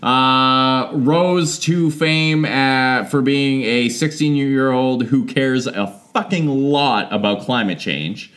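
A man's voice: mid-range voice, takes it slowly, few pauses, fairly bright tone. A young man co-hosting a podcast, wry and sweary in casual chat. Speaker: podcast co-host.

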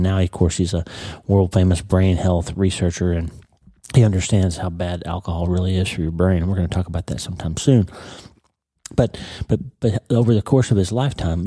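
A congested man with a croaky, stuffy voice that sits low and sounds very dark and mellow. He talks in a casual, conversational way on his podcast, doubling back on words as he thinks, in a dry, close sound. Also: no room sound. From United States. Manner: warm conversational, repeats words as he goes. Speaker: podcast host. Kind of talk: casual motivational podcast talk.

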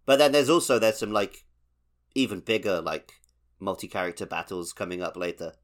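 Lower-voiced man in casual podcast conversation. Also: a little fast. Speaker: podcast co-host. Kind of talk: casual gaming podcast chat.

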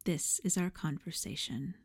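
A woman's voice: low voice, moderate pace, husky. A woman host reading a scripted introduction of a guest.

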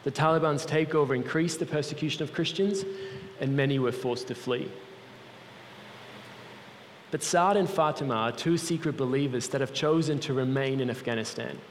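A man's voice: mid-range voice, normal speed. Man, Swiss accent, earnest guest preacher, long hall reverb, faint room noise. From Switzerland. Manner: earnest, personal storytelling. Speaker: guest speaker for a mission organization. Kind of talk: sermon. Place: large church hall.

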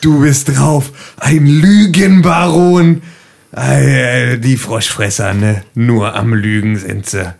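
A man playing an excitable, slangy guy who stammers and repeats himself in an improvised tabletop role-play. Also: mid-range voice, slow, vocal fry. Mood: indignant. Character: excitable, colloquial man named Rudi, flustered by calls.

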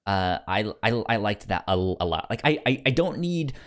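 Male co-host for casual discussion show. Halting, repeats words, highly animated pitch. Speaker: podcast co-host.

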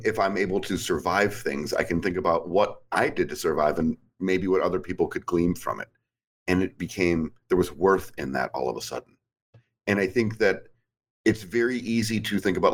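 A man in his forties in casual conversation, reflective and rambling, with frequent "like"s. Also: sits low. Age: 40s. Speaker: podcast guest. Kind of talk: casual chat.

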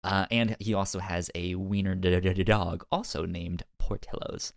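A man's voice: deep voice, flat delivery, moderate pace, vocal fry, no echo, clean studio sound. A man co-hosting a casual review podcast, often stammering and repeating words as he goes. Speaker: podcast co-host.

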